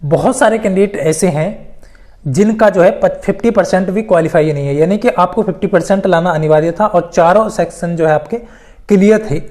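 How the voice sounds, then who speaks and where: A presenter speaks at a medium pitch in an informal explainer style. The delivery is emphatic, circling back over key points for exam candidates, with English terms mixed in throughout. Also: brisk, darkish tone. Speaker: presenter of exam news updates.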